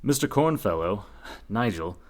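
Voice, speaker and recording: An actor playing an earnest, dim young reporter in a comedic radio play, delivering lines in a deadpan, earnest manner. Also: male voice, on the low side, measured pace.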